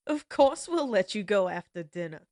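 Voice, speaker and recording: A woman playing a slow-talking menacing outlaw leader. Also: mid-range voice, dry recording, clean studio sound. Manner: slow, taunting drawl. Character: menacing female outlaw gang leader, mocking. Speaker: voice actress. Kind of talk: audio roleplay acting.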